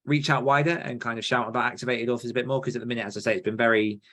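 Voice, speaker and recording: A man's voice: medium pitch, steady tone, very fast, a bit bright. A man co-hosting a podcast in a casual, informal chat style, thinking through plans as they talk. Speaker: podcast co-host.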